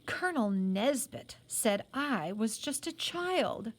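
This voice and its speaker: A woman's voice: on the high side, sing-song, slow pace, full character voice. A proud, indignant teenage apprentice boy from a storybook, read by a woman. The words come out clipped and stung, in an only lightly altered voice. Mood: indignant, wounded pride.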